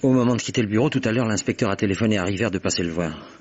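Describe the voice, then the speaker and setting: A man plays an earnest, argumentative friend in a French radio mystery, reasoning in quick colloquial speech. His voice is husky and the recording dull. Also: fairly low pitch, lightly acted. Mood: matter-of-fact. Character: earnest, reasoning male friend, easily stung.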